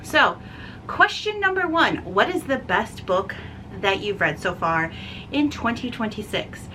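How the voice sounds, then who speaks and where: Woman, bright voice, casual book vlog host, chatty, emphatic, enthusiastic, some background noise. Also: a little high, big pitch swings, measured pace, rough recording. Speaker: book reviewer and video host.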